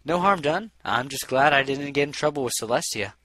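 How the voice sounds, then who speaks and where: A man's voice: mid-range voice, animated, brisk, bright, forceful tone, no echo. A man voicing a studious, friendly young female unicorn who is easily flustered and earnest. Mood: relaxed, sheepish.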